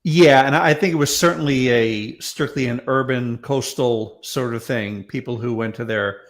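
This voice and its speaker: Casting: male commentator, mid-pitched with heavy vocal fry, wry and hesitant, casual chat. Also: normal speed. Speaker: podcast panelist.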